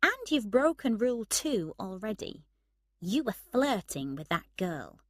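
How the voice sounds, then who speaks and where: A woman's voice: mid-range voice, very animated, unhurried, no room sound, clean studio sound, voice pushed up. A woman voicing a frank, unimpressed young woman who speaks directly to her friend in an audiobook story. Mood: reproving.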